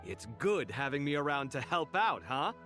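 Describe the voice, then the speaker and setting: An actor playing a young male scholar in a game cutscene, expressive and quick to protest. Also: on the high side, faint music behind. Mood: indignant. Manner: animated, exasperated, quick to protest.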